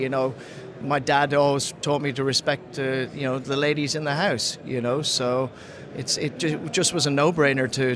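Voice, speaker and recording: A man with an Irish accent, who grew up in Ghana, giving a casual interview and often restarting his sentences as he searches for words. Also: mid-range voice. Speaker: interview guest, sells to mining industry. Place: trade conference floor. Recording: busy conference crowd behind.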